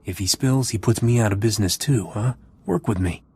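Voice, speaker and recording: An actor playing a roguish, dry and sardonic smuggler captain in a video game. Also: male voice, lowish voice, a little fast, darkish tone, husky. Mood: cool, pressing.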